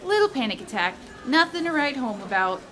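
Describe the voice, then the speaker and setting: A young woman playing an earnest, caring, impulsive college student in an audio drama, with an eager, expressive delivery. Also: high voice, a little fast, heavily acted. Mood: soothing. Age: young adult. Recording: faint background noise.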